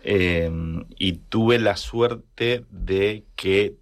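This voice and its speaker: A man from rural Argentina with an Argentine accent, telling personal stories casually and haltingly as he searches for words. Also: deep voice. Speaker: interview guest.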